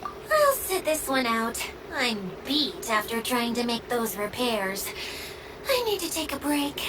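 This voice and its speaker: A woman voicing a weary, gently wry young female repairer in a video game, with a casual, sighing manner. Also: on the high side, big pitch swings, takes it slowly, far from the mic, noisy background.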